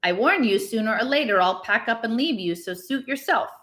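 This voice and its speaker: A fiery storybook innkeeper's wife voiced by a woman reading aloud, bright and cutting. She is brusque and indignant, a woman who snaps and scolds. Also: a little high, expressive, brisk, pitched above the performer's own voice. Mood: sharp, indignant. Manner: brusque, snapping. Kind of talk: expressive storybook read-aloud.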